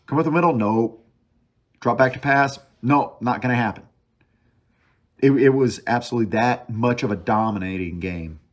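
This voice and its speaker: A man's voice: medium pitch, normal speed. A man hosting a sports talk show alone, casually reviewing a game as an opinionated fan, thinking aloud and hammering short phrases by repeating them. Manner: emphatic repetition of short phrases. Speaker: sports podcast host.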